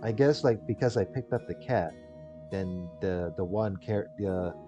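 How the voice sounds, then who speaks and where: A man's voice: deep voice, unhurried. A man hosting a gaming video, reading visual novel text aloud and adding casual, rambling commentary. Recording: dull lo-fi mic under loud music. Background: game music.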